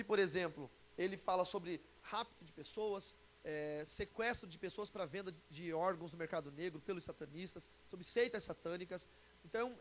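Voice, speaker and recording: High-pitched Brazilian male preacher. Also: rough recording. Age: 40s. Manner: chatty, joking, asks the audience questions. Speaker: pastor and conference speaker. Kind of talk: informal preaching and teaching to a congregation. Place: church conference before an audience. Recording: live talk with background hiss.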